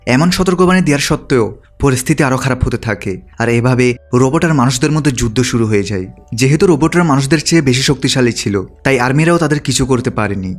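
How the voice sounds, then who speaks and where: A man reading a scripted film recap as a storytelling narrator. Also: medium pitch.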